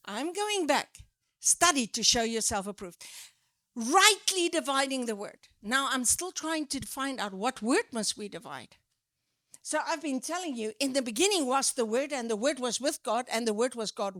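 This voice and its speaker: South African woman preacher, high voice, slow deliberate delivery, emphatic, dry close sound. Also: dry recording. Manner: animated, emphatic, drawn-out stresses. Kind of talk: sermon teaching.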